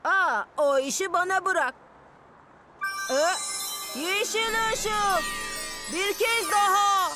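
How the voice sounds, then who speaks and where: An actor voicing an eager, excitable young truck in a children's cartoon dub, expressive and childlike, full of exclamations. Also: very high-pitched, slow, pauses often. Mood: proud, eager. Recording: music bed under the voice.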